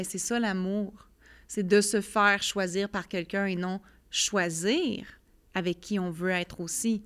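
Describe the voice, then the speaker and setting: A woman's voice: medium pitch, clear, resonant tone. A young woman with a Quebec French accent gives candid personal testimony as a podcast guest. She talks earnestly, full of fillers, often voicing her own past thoughts as quotes. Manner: earnest, many fillers, lively storytelling with quoted inner voice.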